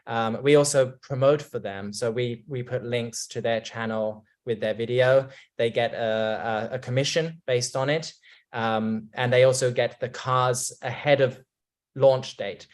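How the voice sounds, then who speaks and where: A man from England, a company guest on a video call, speaking casually and hesitantly with many restarts. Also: mid-range voice.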